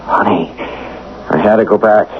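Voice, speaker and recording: An actor playing an earnest, torn young football player in a radio drama, halting and apologetic. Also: male voice, on the low side, fairly even intonation, natural voice. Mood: defensive, pleading. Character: earnest, torn young football star, meek under pressure. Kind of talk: 1970s radio drama acting. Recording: muffled, noisy vintage radio broadcast.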